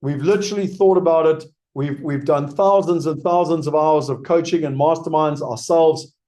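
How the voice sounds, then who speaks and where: A man's voice: a little high, normal speed, mellow. A man with a South African accent hosting a live webinar, answering audience questions in an emphatic way that repeats key points. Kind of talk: live webinar Q&A sales pitch.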